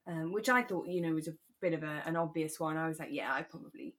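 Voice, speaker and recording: A British woman with a slightly low voice co-hosts a relaxed podcast. She retells and comments in an expressive, conversational manner, as in an easy chat. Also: fast talker. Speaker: podcast co-host. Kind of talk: relaxed radio drama recap chat.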